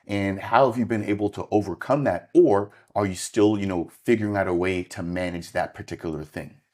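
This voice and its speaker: A man's voice: low voice, fairly quick, darkish tone. A male interviewer asking long, conversational questions with lots of fillers. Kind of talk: podcast interview.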